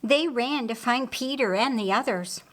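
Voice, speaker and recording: A woman reading scripted story narration in an expressive, dramatic storytelling manner. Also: on the high side, normal speed, poor audio. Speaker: audiobook narrator.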